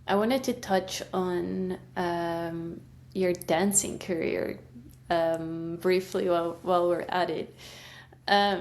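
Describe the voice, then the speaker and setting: A woman's voice: medium pitch, darkish tone. A woman hosting a podcast interview, talking in a chatty, rambling way with many fillers. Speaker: podcast host. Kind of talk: casual interview chat.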